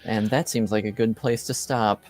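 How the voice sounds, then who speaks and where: A man's voice: fairly low pitch, fairly even intonation, normal speed, darkish tone. A man speaking casually as a game master, good-humoured and a little hesitant. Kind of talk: casual group chat.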